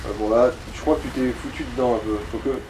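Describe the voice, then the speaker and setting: A man's voice: mid-range voice, quick pace, echoey room, background noise. A man giving casual, coarse and slangy gaming commentary as he guides another player. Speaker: let's play co-host guiding the player.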